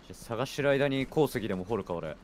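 A man's voice: medium pitch, animated, fast talker, a little hiss or hum. A man playing a video game with a friend, chatting casually with blunt, teasing retorts. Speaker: guest game streamer. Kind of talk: casual co-op gameplay chat.

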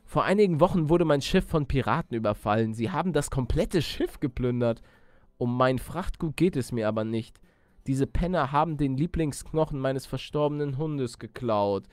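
A man's voice: mid-range voice, expressive, normal speed, dry recording, close to an everyday voice. A man voicing a courteous, sentimental ship captain as he reads out video game dialogue. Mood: earnest, sentimental. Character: plain-spoken male ship captain, polite and sentimental.

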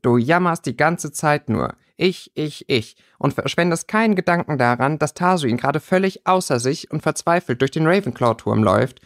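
A man's voice: fairly high pitch, animated, normal speed, hardly any pauses. A man voicing a blunt, angry schoolboy in a storybook reading, scolding emphatically. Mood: reproachful.

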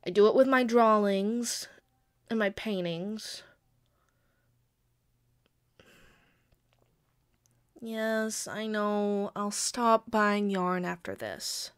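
A quirky young girlfriend as a woman acts her out in an intimate audio roleplay. She speaks slowly at a middling pitch, halting and pleading, with a sheepish, playfully defensive temper. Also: clear, resonant tone, close to an everyday voice. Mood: pouty, earnest. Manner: hesitant, pleading, halting with pauses. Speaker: amateur audio roleplay voice actor.

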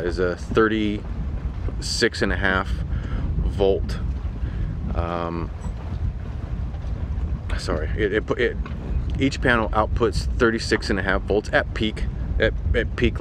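A man in his forties talking casually and matter-of-factly to camera in halting phrases, explaining gear like a vlogger. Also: lowish voice. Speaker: sailing vlogger reviewing equipment. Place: outdoors on a sailboat deck at anchor. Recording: windy outdoor boat deck noise.

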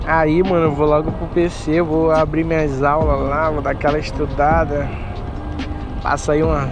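A man's voice: on the high side, flat delivery, normal speed. A young man, chatting casually and rambling to viewers while riding a motorbike, full of street slang. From Manaus, Brazil. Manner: slangy, rambling, addresses viewers as mano and tropa. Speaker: motovlogger delivery rider. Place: outdoors riding a motorcycle in city traffic. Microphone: helmet-mounted action camera. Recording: loud road and engine noise. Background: traffic and motorcycle engine.

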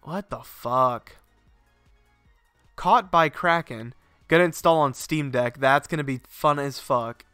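A man's voice: mid-range voice, slow, clean tone, no room sound, studio quality, soft background music. Congested, rambling young streamer guy. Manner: rambling, swears freely, excitable. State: congested, sinusy. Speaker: game streamer. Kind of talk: casual live-stream chat. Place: home garage setup.

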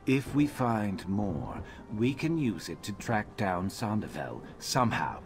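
Deep, brooding reptilian warrior. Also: male voice, sing-song, measured pace, a little hiss or hum. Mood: determined. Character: brooding male reptilian warrior, determined escaped slave. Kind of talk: video game character dialogue.

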